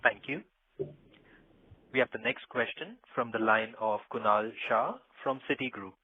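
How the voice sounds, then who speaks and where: Male conference operator, medium pitch, slightly raspy, formal procedural delivery over a narrow phone line. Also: unhurried, no echo. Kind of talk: formal call moderation.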